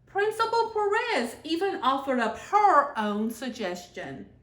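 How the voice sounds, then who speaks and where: A woman reading a children's picture book aloud in an expressive, deliberate storytelling manner. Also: high voice. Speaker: senator and former teacher reading aloud. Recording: echoey room.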